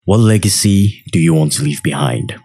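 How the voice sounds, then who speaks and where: A man's voice: low voice, expressive, normal speed, creaky, mellow. A man hosting a podcast, putting short questions to a guest and delivering a scripted sign-off. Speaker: podcast host. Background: music.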